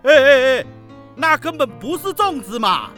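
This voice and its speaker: A woman acts out a boastful, short-fused chef competing in a children's radio play. The voice is high and sharp, full of bluster, over music and a faint crowd. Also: big pitch swings, normal speed. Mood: outraged. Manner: boastful, blustering, easily outraged. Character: cocky, hot-tempered contestant chef from central Taiwan. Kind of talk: children's radio drama acting.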